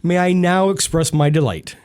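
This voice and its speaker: An actor playing a bitter, mocking former stable boy turned wealthy gentleman in a period radio drama, speaking with cool formality. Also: sits high, moderate pace, clean tone, close to an everyday voice. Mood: bitterly mocking.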